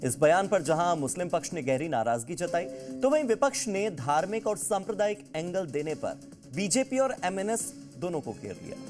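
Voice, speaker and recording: Animated male news presenter. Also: sits high, moderate pace, music in the background. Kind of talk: scripted news introduction.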